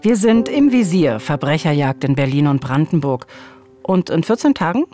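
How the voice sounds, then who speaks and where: A woman's voice: low-pitched, sing-song, moderate pace, warm tone, soft background music. A woman in her forties co-hosting a true crime podcast, narrating cases in a conversational style. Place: small dark radio studio, indoors. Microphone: studio microphone on a boom arm, close.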